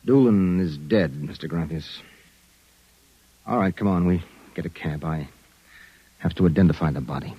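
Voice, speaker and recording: An actor playing a tough, streetwise private investigator in a 1950s radio drama, giving clipped orders. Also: male voice, sits low, lo-fi sound, slight background noise, close to an everyday voice. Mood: grim. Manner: brisk, streetwise, clipped commands. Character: tough hard-boiled male private eye Broderick. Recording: old radio broadcast with music.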